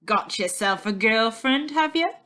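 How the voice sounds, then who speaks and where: A woman's voice: fairly high pitch, animated, measured pace, bright, forceful tone. A woman voicing a mocking, snarling fairground boorman in a storybook, with growled, taunting questions.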